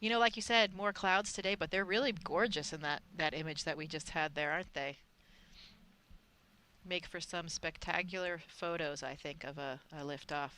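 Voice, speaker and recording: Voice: medium pitch, fairly even intonation, moderate pace, bright, forceful tone, clear, resonant tone. A co-commentator chatting upbeat during live launch commentary. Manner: conversational and upbeat.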